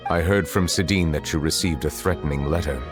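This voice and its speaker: A man voicing a stern, composed male chief justice in a video game, speaking in a measured, formal and grave way. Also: extremely low pitch. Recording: game audio with faint music behind.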